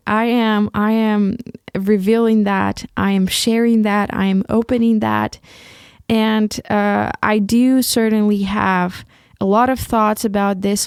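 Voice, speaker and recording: Earnest Ukrainian woman, smooth close voice. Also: mid-range voice, darkish tone, dry recording. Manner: hesitant, many restarts, earnest. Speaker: solo podcast host. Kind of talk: casual solo podcast monologue.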